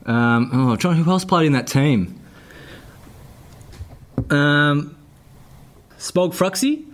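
Male, Australian, acting as a gushing old footy teammate on a prank call, higher pitched and animated. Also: slow, slight background noise. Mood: nosy, probing. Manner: matey, nosy. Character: chummy, gushing old junior football teammate on the phone. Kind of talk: casual prank phone call.

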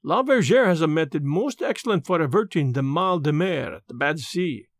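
A man voicing a fastidious, self-assured little foreign detective in an audiobook, with a French accent and deliberate, theatrical phrasing. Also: a little high, expressive, no echo, studio quality. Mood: queasy, distressed.

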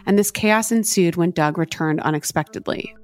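A woman co-hosting a true crime podcast, telling the case in a steady, earnest way. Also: on the low side, moderate pace, faint music behind. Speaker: podcast co-host.

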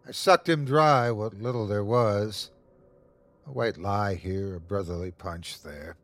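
A man's voice: on the low side, fairly bright tone. A man voicing a sadistic, taunting creature in a horror story, savoring every cruel word in a mocking, gloating way. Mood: smug. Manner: gloating, drawn-out mocking delivery. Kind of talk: horror audiobook character voice.

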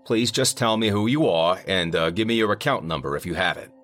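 A man's voice: on the low side, a little fast, fairly bright tone, soft background music, pitched above the performer's own voice, lightly acted. A man playing a harried night-shift manager. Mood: polite. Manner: polite, strained customer-service tone. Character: harried middle-aged male customer service manager.

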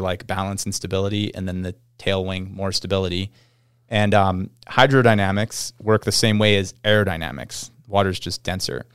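A man's voice: lowish voice, clean tone, no room sound, studio quality. A man with a Canadian accent chatting casually as a podcast guest, explaining things enthusiastically in informal surfer slang. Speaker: podcast guest, pro snowboarder.

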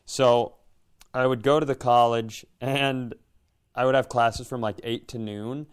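A man's voice: medium pitch, little pitch movement, measured pace, clear, resonant tone. A man telling a casual, comedic personal story in a self-deprecating way, slipping into imagined dialogue. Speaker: podcast host and comedian.

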